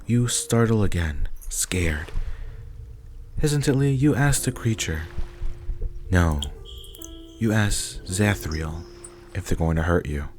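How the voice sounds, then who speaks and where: A man's voice: deep voice, lively intonation, takes it slowly, long pauses, darkish tone, slight background noise. A man reading scripted second-person story narration for an ASMR roleplay. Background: faint music.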